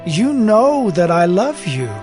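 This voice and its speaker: An earnest, pleading fisherman, voiced by a male reader in a dramatized scripture reading. His voice sits high, and he draws his words out slowly, swooping in pitch. Music plays underneath. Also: very animated, heavily acted. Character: Simon Peter, an earnest, grieved male fisherman.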